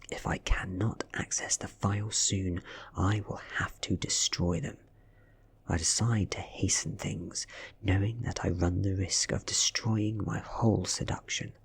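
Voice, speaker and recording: A man with a British accent giving a soft-spoken, breathy and hushed ASMR reading of a story, delivered dramatically. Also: low voice. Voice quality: breathy, whispery. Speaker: audiobook reader.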